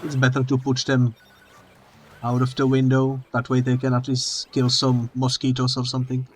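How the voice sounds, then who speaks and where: A man who is not a native English speaker, playing a game together with a streamer and joking in relaxed, plain speech. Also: mid-range voice, moderate pace, husky. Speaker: co-op guest player. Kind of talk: casual gaming chat.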